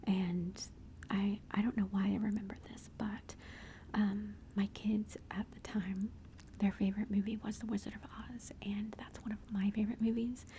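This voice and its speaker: A woman's voice: medium pitch, level tone, normal speed, warm tone, slight background noise. A woman whispering throughout as she shows family photos and gushes fondly over her kids. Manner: gushing, affectionate, chatty. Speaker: mother showing family photos. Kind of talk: whispered ASMR photo show-and-tell.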